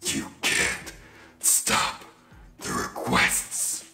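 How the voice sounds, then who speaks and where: A man voicing a menacing speedster villain in a low whisper, pitched down with reverb and doubled. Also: extremely slow, bright, forceful tone, exaggerated voice. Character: demonic deep-voiced male speedster villain, menacing. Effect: pitched down with reverb and doubled track. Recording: echoey processed voice over music.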